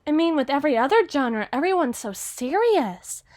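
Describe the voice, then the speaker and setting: A woman's voice: high-pitched, big pitch swings, moderate pace, a little mellow, no room sound, studio quality. A woman playing a gushing, obsessively lovestruck teenage girl writer in an ASMR roleplay monologue, flustered and rambling. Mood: gushing, excited.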